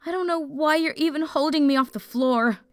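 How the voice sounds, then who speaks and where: A woman's voice: high-pitched, fairly quick, no echo, clean studio sound, lightly acted. A woman playing a fiery, distraught young renegade in an emotional fantasy audio drama. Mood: self-loathing, despairing.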